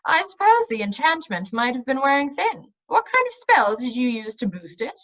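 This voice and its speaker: A fussy storybook magician, voiced by a woman, inquisitive and precise in his speech. The voice is light and high for the part, moving up and down a lot, on a slightly muffled recording. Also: very animated, moderate pace, fairly bright tone.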